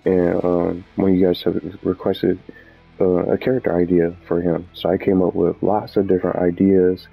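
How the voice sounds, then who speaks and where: A man with a deep, creaky voice hosts a casual gaming video. He talks in a loose, unscripted way, often repeating and rephrasing himself. The sound is slightly muffled, with music playing behind him. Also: completely flat delivery, normal speed. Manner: rambling, repetitive, self-correcting. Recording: muffled audio with background music.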